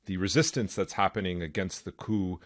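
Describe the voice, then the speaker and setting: A man's voice: low voice, a little fast, dry recording, clean studio sound. A man hosting a podcast interview, earnest and conversational. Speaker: podcast host.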